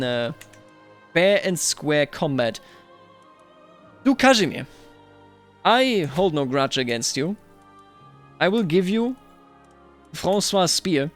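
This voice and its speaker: A man giving live roleplay commentary over a strategy game, thinking aloud and now and then addressing characters theatrically, with music behind him. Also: fairly high pitch. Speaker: gaming video host.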